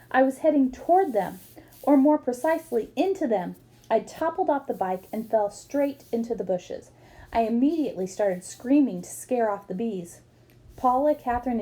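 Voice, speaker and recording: High, mellow-voiced woman reading aloud. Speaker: book reader. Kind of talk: expressive read-aloud of a children's memoir.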